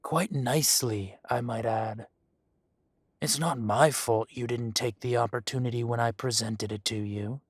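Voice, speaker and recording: An actor playing a smug, teasing dragon mafia boss who speaks slowly and often drops to a whisper. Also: male voice, mid-range voice, fairly even intonation, a little hiss or hum, close to an everyday voice. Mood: mocking. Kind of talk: audio roleplay acting.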